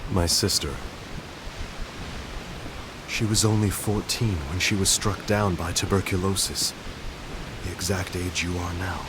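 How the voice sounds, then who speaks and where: An actor playing an ailing young male composer in a game cutscene, speaking softly and reflectively. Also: low-pitched, fairly even intonation, a little slow, pauses often. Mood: grieving. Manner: soft, reflective, searching delivery. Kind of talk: video game cutscene dialogue. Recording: hissy game audio capture.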